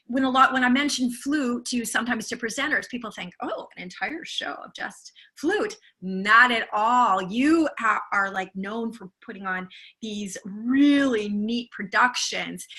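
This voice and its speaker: A woman's voice: a little high, pushed and bright. A woman hosting an interview, enthusiastic, asking long, rambling questions. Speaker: interviewer, talent agent. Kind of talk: interview host.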